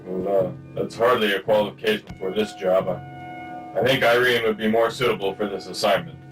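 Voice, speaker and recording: An actor playing a stern, self-important secret service chief in a comic spy radio play. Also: male voice, lowish voice, fairly even intonation, moderate pace, a bit bright, distant mic, soft background music, natural voice. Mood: official, exasperated.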